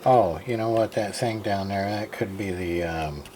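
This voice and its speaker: Tired, slow-talking man at his workbench. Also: fairly low pitch, darkish tone, slight background noise. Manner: halting, many fillers and restarts, thinking aloud. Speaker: hobbyist radio repairer. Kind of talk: casual workbench show-and-tell. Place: home workshop desk.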